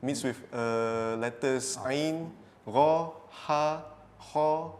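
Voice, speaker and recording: Excitable Malaysian-accented young man. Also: fairly high pitch, very slow, long reverb tail. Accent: Malaysian English. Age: 20s. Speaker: quiz contestant. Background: background music.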